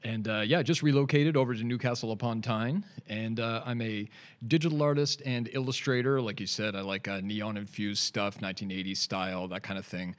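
A man's voice: lowish voice, fairly even intonation, fairly quick. A man in his forties with a Canadian accent, chatting loosely as a podcast guest in a studio, with lots of fillers and restarts. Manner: rambling, laid-back, many fillers and restarts. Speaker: podcast guest, digital artist. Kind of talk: casual interview chat.

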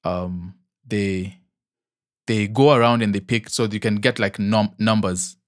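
A young man with a fairly low voice co-hosts a podcast in loose, casual chat. He rambles, backtracks and fills pauses with ums. The recording is close and dry, with no room sound. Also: fairly even intonation, no room sound. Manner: rambling, many ums and restarts, laughs easily. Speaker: podcast co-host.

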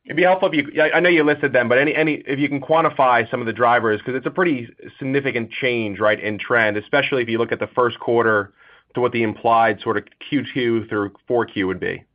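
A man's voice: medium pitch, quick pace. A man speaking as an analyst caller, putting spontaneous, pressing and repetitive questions on an earnings call. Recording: dull conference-call phone audio.

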